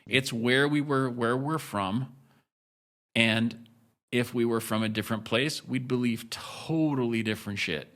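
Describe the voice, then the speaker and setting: A man speaking bluntly as a podcast guest, with a bright, forceful edge to his voice. He talks in bursts, swearing casually and chopping sentences off mid-thought before restarting them. His pitch sits in the ordinary middle range. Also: unhurried. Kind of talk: candid podcast conversation.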